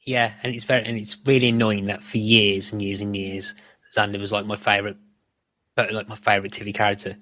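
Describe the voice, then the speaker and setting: A man's voice: lowish voice, little pitch movement, a little fast, sharp tone, dry recording. A middle-aged man, chatting casually as a podcast co-host in a rambling, self-correcting way. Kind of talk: casual chat about a TV show.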